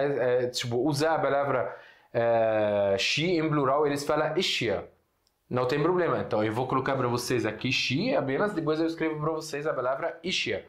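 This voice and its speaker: A man from Syria with a Syrian Arabic accent, a native Arabic speaker, teaching a casual online lesson. He explains slowly, drags out example words, and uses many fillers and restarts. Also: mid-range voice, echoey room.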